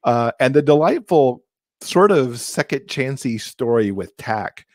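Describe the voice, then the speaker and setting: A man's voice: medium pitch, animated, a little slow, a little mellow, dry recording. A middle-aged man hosting a casual interview, asking questions into a close microphone. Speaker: podcast co-host, interviewer. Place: indoor room, on a video call. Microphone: close podcast microphone.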